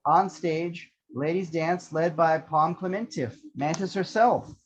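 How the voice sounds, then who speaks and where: A man's voice: fairly high pitch, measured pace, background noise. A man reading out screenplay action descriptions as the narrator of a table read. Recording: slightly dull lo-fi microphone.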